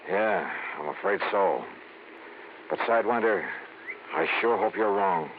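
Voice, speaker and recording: An actor playing a brisk, hard-boiled American insurance investigator in a 1950s radio detective drama. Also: male voice, fairly low pitch, lively intonation, creaky. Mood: concerned. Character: brisk, tough male insurance investigator hero. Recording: noisy, tinny 1950s radio recording.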